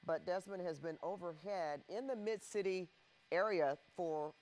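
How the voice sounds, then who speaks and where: A man anchoring live breaking news, recapping events in a measured way with pauses and restarts. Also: high voice, darkish tone.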